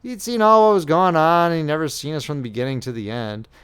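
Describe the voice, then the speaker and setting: A man's voice: a little high, quick pace, a bit bright, clear, resonant tone, close to an everyday voice. A man doing an aggrieved young soldier. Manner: aggrieved, rustic drawl. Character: indignant young male Union soldier, the friend.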